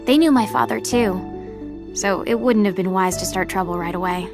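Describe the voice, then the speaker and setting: A woman's voice: medium pitch, moderate pace, natural voice. A woman playing a sardonic seventeen-year-old girl agent in a video game audio drama, snide and inquisitive, outwardly polite but privately scornful. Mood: dry, resentful. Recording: loud background music under voice.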